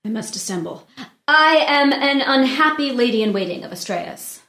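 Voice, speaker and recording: A woman's voice: fairly high pitch, normal speed, fairly bright tone, close to an everyday voice. A woman playing an anxious, guarded young noblewoman in disguise in a dramatic play reading, earnest and formal. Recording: roomy, echoing indoor pickup.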